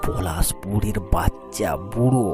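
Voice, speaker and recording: A man voicing a sinister old man, secretly a villain, telling a cartoon horror story in a drawn-out, ominous manner. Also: on the low side, lightly acted. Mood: ominous, slow. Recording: music bed behind the voice.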